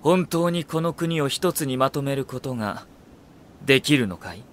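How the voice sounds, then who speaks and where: An actor playing a refined, cerebral young strategist in an audio drama, with elegant, courtly, probing phrasing. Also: male voice, mid-range voice, expressive, moderate pace, a bit bright, slight background noise, close to an everyday voice. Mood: probing, cool. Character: refined, cerebral young male strategist, coolly devoted to his lord. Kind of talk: Japanese audio drama acting.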